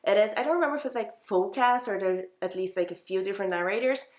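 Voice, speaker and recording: A woman's voice: medium pitch, fast, echoey room. A woman giving casual, chatty book reviews as a vlogger, informal, opinionated and emphatic in how she talks.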